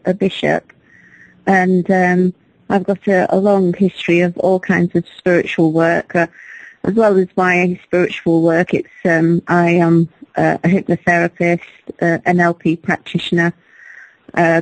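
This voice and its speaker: A woman calls in over the phone as an author guest. She answers hesitantly, with many ums, in a flat voice. The line sounds dry and close. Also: fairly low pitch, no room sound. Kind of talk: call-in interview. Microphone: phone call-in. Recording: dry, remote phone call-in.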